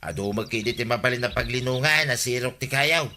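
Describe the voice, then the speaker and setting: An actor playing an agreeable village sidekick with an Ilocano Filipino accent, conversational and coaxing, in a radio comedy drama. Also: male voice, mid-range voice, a little fast, bright, forceful tone, a little hiss or hum.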